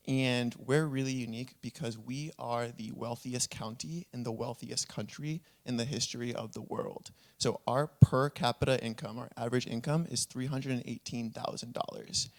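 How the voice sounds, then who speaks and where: A man from Puerto Rico speaks at a conference panel, laying out his points one by one. His tone is earnest and explanatory, and his voice sits at a middle pitch. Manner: earnest, explanatory, lists examples.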